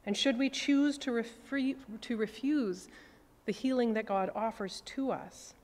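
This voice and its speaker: A woman in her forties, a priest, preaching a sermon at a lectern, measured and reflective, with soft falling phrase endings. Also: on the high side. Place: cathedral chancel at a lectern. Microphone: stand mic on lectern. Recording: long church reverb.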